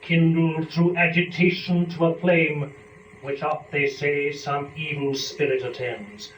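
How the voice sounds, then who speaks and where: A man narrating epic poetry dramatically, with measured, formal declamation. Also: a little high, steady tone, fairly bright tone. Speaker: verse narrator. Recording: echoey, distant, dull, faint noise.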